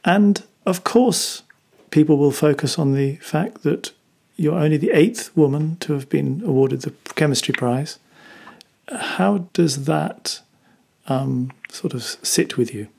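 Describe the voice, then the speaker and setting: A man asking conversational interview questions in a hesitant, gently probing manner. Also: a little high, unhurried, vocal fry, slightly rough.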